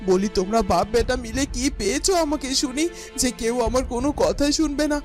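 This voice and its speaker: A woman's voice: on the high side, lively intonation, fast, faint music behind, close to an everyday voice. A woman playing a distraught, stubborn village mother in a cartoon, plaintive and scolding in a melodramatic way. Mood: indignant.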